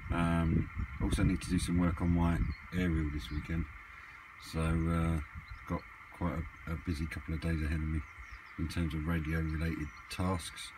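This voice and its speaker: Low-voiced man, noisy recording. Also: completely flat delivery, normal speed. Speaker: hobbyist vlogger. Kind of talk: casual chat, off-the-cuff equipment vlog. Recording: clearly noisy amateur camera recording.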